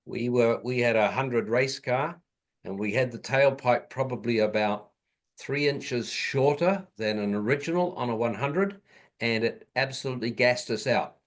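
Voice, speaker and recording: A man's voice: medium pitch, normal speed. A man casually troubleshooting on a group call, direct and matter-of-fact. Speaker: club member giving technical advice. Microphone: conference call.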